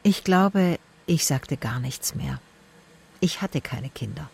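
A woman's voice: low voice, expressive, slow pace, creaky, darkish tone, husky, full character voice. A woman playing a tough private detective, narrating and talking in a dry, laconic, sardonic way in a radio drama. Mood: brooding, sardonic. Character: wry, tough female private detective narrating her case. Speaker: narrator and lead actor.

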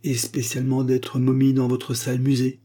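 A man's voice: mid-range voice, completely flat delivery, moderate pace, warm tone, husky, voice pushed up. A man voicing an irritable ancient Egyptian mummy who scolds and insults in a raised voice. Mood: irritated. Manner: scornful, peevish scolding.

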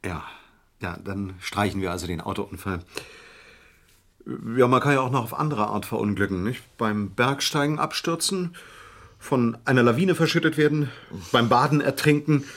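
An actor playing a wary, obliging lawyer in a radio crime drama, measured and dry, pondering as he talks. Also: medium pitch, fairly bright tone, natural voice. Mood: matter-of-fact. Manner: measured, dry, thoughtful hesitations.

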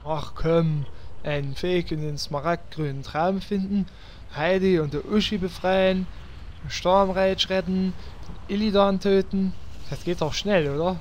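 A man's voice: high-pitched, fairly even intonation, unhurried, a little mellow, slight background noise. An actor playing a naive, eager, simple-minded male hero in a parody fantasy audio drama.

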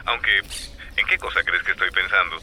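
Male radio drama lover, Colombian accent, deep and crisp-edged, sweet-talking, smitten, with some background noise. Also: steady tone, a little fast, bright, forceful tone. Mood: flirtatious, smitten. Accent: Colombian, Bogotá. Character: smooth-talking, lovestruck Colombian man flirting by phone. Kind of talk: radio drama acting, intimate phone conversation.

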